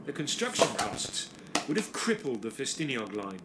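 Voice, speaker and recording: A man giving scripted documentary narration in a measured, storytelling delivery. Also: mid-range voice, very animated, very noisy. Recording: narration over music from a played video.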